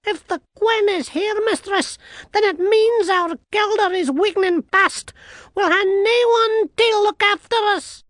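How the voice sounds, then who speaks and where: High-pitched tiny Scottish warrior man. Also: unhurried, no echo, clean studio sound. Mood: fearful, pleading. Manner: dialect speech, exclamatory, loud. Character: tiny rowdy blue-skinned warrior man, anxious, boastful thief.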